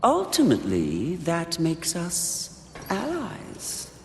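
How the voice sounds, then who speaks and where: Silky, slow, taunting demon. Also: male voice, fairly high pitch, wildly swooping pitch, gravelly, a little mellow, exaggerated voice. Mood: taunting, probing. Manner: silky, theatrical, drawn-out taunting delivery. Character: Lust, suave seductive taunting demonic sin. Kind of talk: video game cutscene acting. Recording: echoey hall reverb, faint noise.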